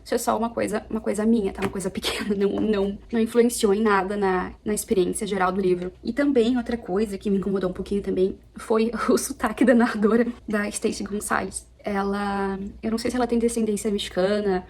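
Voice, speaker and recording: A young woman from Brazil, chatting casually at home as she reviews a book, animated and often correcting herself. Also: medium pitch, fast talker, few pauses. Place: apartment at home.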